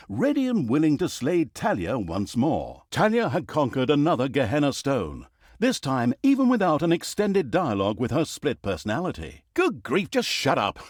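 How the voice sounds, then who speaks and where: An actor playing a wry, theatrical and easily exasperated narrator of a fantasy video game, telling the story with mock grandeur. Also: male voice, medium pitch, breathy edge, dry recording, clean studio sound. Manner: sardonic, mock-grandiose, breaking the fourth wall. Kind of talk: scripted comic fantasy storytelling.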